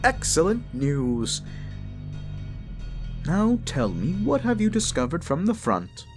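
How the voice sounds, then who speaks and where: A man voicing a scheming necromancer lich in a fantasy audio drama, with a theatrical, mock-sweet, drawn-out delivery. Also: fairly high pitch, wildly swooping pitch, faint music behind, pitched above the performer's own voice, exaggerated voice. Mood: gleeful scheming. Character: gleeful scheming male necromancer lich villain.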